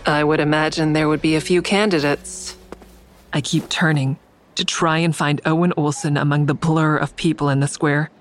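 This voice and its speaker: A woman playing a guarded, tense heroine in an audio drama, telling her story in a measured, deliberate, suspenseful way. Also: low-pitched, steady tone, lightly acted. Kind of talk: first-person audio drama narration and dialogue.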